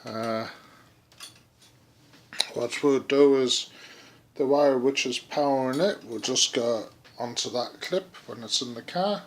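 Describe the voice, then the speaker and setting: A man giving a casual DIY how-to vlog, speaking haltingly as he thinks aloud. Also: medium pitch, slight background noise. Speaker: hobbyist presenter.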